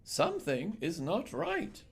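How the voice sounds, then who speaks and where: A man's voice: high-pitched, huge pitch swings, slow pace, creaky, husky, pitched above the performer's own voice, exaggerated voice. A man voicing an anxious, kindly woman who looks after little schoolgirls, in a theatrical, earnest storybook manner. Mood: alarmed.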